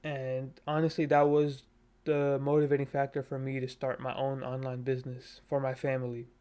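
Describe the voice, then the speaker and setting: A man's voice: mid-range voice, completely flat delivery, normal speed, warm tone, clear, resonant tone. A man in his twenties, from Nepal, talking earnestly and casually on a self-improvement vlog from his bedroom on a cheap desk mic. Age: 20s. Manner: earnest, self-motivational, loose with fillers. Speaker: vlogger. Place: bedroom at his parents' house. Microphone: cheap USB desk mic.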